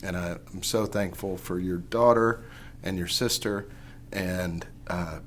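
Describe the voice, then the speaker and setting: A man giving a heartfelt family tribute, sincere and warm-hearted. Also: deep voice, steady tone, a little slow, slight background noise. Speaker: lawyer.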